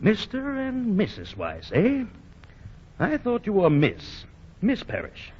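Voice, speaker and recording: A man's voice: sits high, slow, husky, exaggerated voice. A blustery old grandfather. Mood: puzzled. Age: older. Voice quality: blustery, excitable. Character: stubborn older male department store owner, a grandfather. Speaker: actor. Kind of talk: 1940s radio acting. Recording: dull old radio broadcast.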